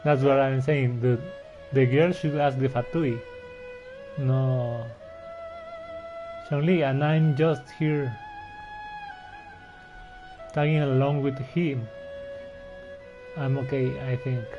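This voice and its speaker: Slow, hesitant man commenting on a game. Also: medium pitch, fairly even intonation. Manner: hesitant, chatty, word-searching. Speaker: game streamer. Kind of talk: casual gameplay commentary. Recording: dull lo-fi voice, game music behind.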